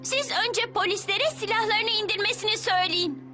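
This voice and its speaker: A woman's voice: extremely high pitch, moderate pace, sharp tone, soft background music. A woman voicing a brave, earnest, insistent little circus girl in a dubbed cartoon. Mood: defiant. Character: brave defiant little circus girl protecting her panther.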